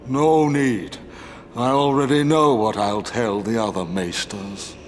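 A man's voice: mid-range voice, steady tone, takes it slowly, slight background noise, close to an everyday voice. A man playing a stern, loyal older steward of a fantasy race, formal, haughty and accusing, in a video game. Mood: cold, stern. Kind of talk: video game cutscene acting. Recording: music behind the voice.

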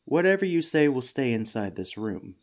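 A man playing a kindly, patient head teacher in a gentle, soothing ASMR comfort roleplay. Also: medium pitch, big pitch swings, normal speed, lightly acted. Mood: gentle, reassuring.

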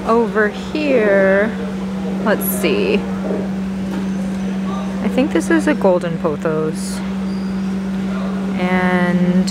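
A woman giving casual, chatty shopping vlog commentary, appreciative and half talking to herself as she browses, recorded on a handheld camera in a large store garden center. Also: medium pitch. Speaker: vlogger, host. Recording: clear store background noise, ventilation hum.

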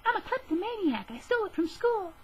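A perky, shameless cartoon schoolgirl, acted by a man in falsetto. The pitch is extremely high and squeaky. It bounces around with lively swings. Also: fairly quick. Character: perky teenage schoolgirl, shamelessly light-fingered. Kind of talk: parody anime dub.

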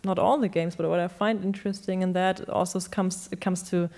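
A woman's voice: lowish voice, level tone, brisk, a little mellow. A young woman speaking on a panel discussion, into a handheld mic on a stage, thoughtful and searching for words. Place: large stage in a hall.

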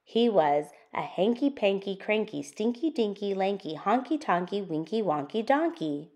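A woman hosting an interactive children's storytime, reading a picture book aloud with expressive, sing-song, deliberate phrasing. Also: medium pitch.

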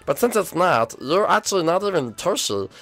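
Brief: a performer as a nervous young villain's son, pleading, stumbling over fillers, a lively voice with a gravelly edge. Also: medium pitch, moderate pace. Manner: pleading, hesitant, full of "uh" and "um". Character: young male turtle-dragon prince, the villain's son.

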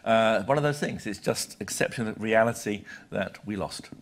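A man's voice: lowish voice, moderate pace. A British man in his sixties giving a live lecture to an audience in a conversational, emphatic way with short clipped phrases. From Britain. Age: 60s. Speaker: lecturer, journal publisher. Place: lecture hall, speaking from a podium. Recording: live talk with audible background noise.

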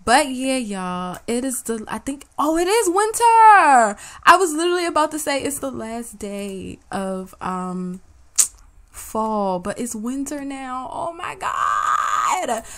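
A woman's voice: high-pitched, very animated, takes it slowly, a bit bright. A woman hosting a life-sim let's-play, chatting casually and talking straight to her viewers in slangy banter, her voice a little worn as if she is losing it. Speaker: gaming channel host.

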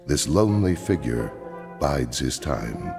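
Very deep, ominous saga narrator. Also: male voice, very animated, slow pace, over music, full character voice. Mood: grave, ominous. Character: grave, ominous male narrator of a space saga. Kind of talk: dramatic scripted narration of a space opera audio drama.

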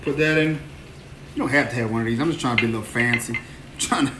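Excitable New chef in an echoey kitchen. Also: male voice, mid-range voice, quick pace. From New Orleans. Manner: exuberant, repeats phrases, chatty. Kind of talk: live cooking stream chat. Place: home kitchen, indoors. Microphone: phone camera moved around the kitchen. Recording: echoey kitchen, phone mic at a distance, noisy frying. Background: frying and sizzling food.

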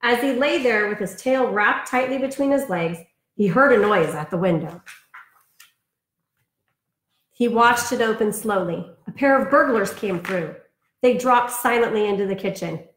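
A woman's voice: medium pitch, moderate pace, a bit bright. A woman reading aloud for an elementary storytime, telling it expressively with chatty asides. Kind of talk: read-aloud storytime for children. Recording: roomy, echoing sound.

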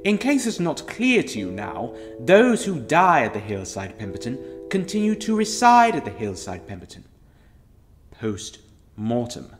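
A man's voice: medium pitch, huge pitch swings, a little slow. Guy doing theatrical wry ghost story narrator, voice goes up and down loads, music behind. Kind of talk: scripted audio drama narration.

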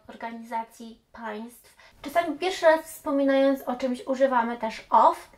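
A woman in her thirties, an English teacher, patiently explaining grammar to camera and dropping in English example phrases, recorded in a small room. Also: fairly high pitch. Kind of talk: explanatory language lesson to camera. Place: small room indoors with bookshelves.

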